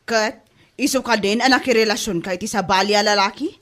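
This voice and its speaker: A woman's voice: mid-range voice, fairly quick, a bit bright, close to an everyday voice. A woman with an Ilocano accent playing a stern, reproachful older mother in an audio drama. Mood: scolding.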